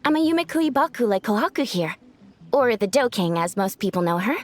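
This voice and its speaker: Polite dream-eating yokai girl, high lively voice. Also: brisk. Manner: polite, warm and earnest. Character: gentle dream-eating yokai in young woman form. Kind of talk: video game voice acting.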